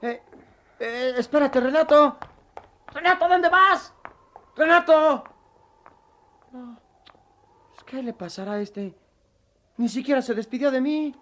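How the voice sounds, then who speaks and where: High-voiced, earnest schoolboy. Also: male voice, slow, long pauses, sharp tone, heavily acted. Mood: puzzled, calling out. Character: earnest, grateful poor schoolboy, friend and big brother. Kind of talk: radio drama acting.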